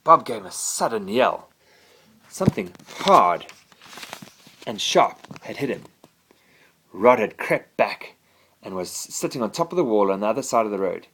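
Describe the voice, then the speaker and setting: A man's voice: on the low side, very animated, unhurried, pauses often, a bit bright, a little hiss or hum. A man reading a children's bedtime story expressively, as a father to his child.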